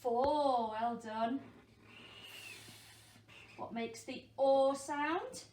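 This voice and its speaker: A woman teaches early phonics to small children at a very unhurried pace. Her voice sits fairly high, and she encourages her pupils with simple questions and waits for them to answer. The room is noticeably echoey. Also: darkish tone. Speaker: primary school teacher. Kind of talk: interactive phonics lesson for young children. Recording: echoey room with faint background noise.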